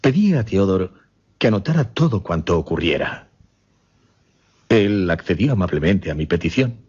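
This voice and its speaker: Un actor de radioteatro que interpreta a un solemne caballero hipnotizador que relata su experimento macabro, formal y con pausas dramáticas. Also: male voice, low voice, sing-song, darkish tone, slightly rough, exaggerated voice. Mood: measured, solemn. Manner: deliberate, formal, dramatic pauses. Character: grave gentleman hypnotist recounting his macabre experiment. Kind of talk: radio drama acting, dialogue narration. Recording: slightly muffled, lo-fi audio.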